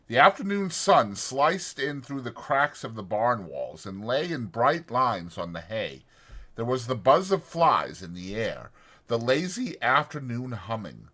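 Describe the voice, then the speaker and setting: A man's voice: medium pitch, sharp tone. A man reading a story as a dramatic audiobook narrator.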